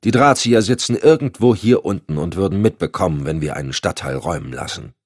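A man's voice: low voice, animated, fast, a little mellow, dry recording, studio quality. A man voicing a curt, sardonic, commanding agency chief with clipped formal speech in an audiobook. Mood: cool, controlled. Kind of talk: audiobook dialogue.

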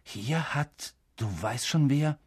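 A man's voice: a little high, takes it slowly, a little mellow, husky, dry recording, clean studio sound, pitched above the performer's own voice. A man voicing a curious, shy eleven-year-old boy who talks in eager bursts. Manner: eager, blurting.

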